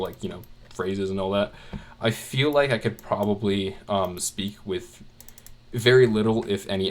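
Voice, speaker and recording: Young man, low voice, casual rambling chat, many fillers. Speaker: art channel creator. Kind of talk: casual chat while drawing.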